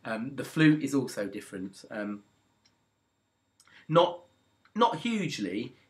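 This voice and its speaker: A man's voice: medium pitch, very animated, slow. A man talking casually to his viewers about a record, rambling with lots of ums and restarts. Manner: chatty, hesitant, many ums and restarts. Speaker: music video blogger. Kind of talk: casual rambling talk to camera.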